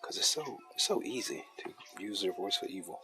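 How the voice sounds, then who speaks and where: A man with a deep, soothing voice chatting loosely while he draws a comic live for viewers. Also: animated, brisk, noisy background. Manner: laid-back, loose and chatty, with laughs and restarts. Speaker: artist drawing a comic on a livestream. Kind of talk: casual rambling chat while drawing.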